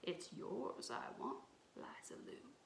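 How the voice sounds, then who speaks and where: A woman's voice: takes it slowly, lightly acted. A woman voicing a sly, wheedling male swamp devil from a storybook, in a sneering, hissed half-whisper. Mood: sly, menacing. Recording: roomy echo, some background noise.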